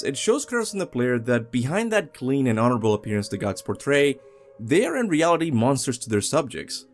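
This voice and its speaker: A man hosting a video essay, narrating a countdown in a casual, opinionated and emphatic way. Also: mid-range voice. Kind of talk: countdown commentary, scripted but casual narration.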